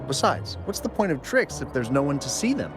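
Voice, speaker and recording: An actor playing an uneasy townsman in a video game, telling his account in an animated, hesitant way over music. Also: a little high, a little fast. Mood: nervous recounting. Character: uneasy townsman witness recounting monster sighting. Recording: music under the voice.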